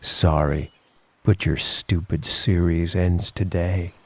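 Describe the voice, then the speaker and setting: Casting: cool, dismissive hitman for an animated game, deep voice, dry sardonic delivery. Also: slow, heavily acted. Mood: cool contempt. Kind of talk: animated game cutscene acting.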